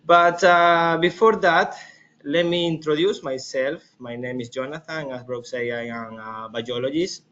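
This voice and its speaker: A man from Ecuador with an Ecuadorian Spanish accent, giving an informal slide presentation as an expedition guide, haltingly, often checking in with listeners. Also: fairly high pitch, fairly bright tone. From Galapagos Islands, Ecuador. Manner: halting, with frequent "right?" check-ins. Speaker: expedition leader and biologist presenting. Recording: dull webinar audio, faint noise.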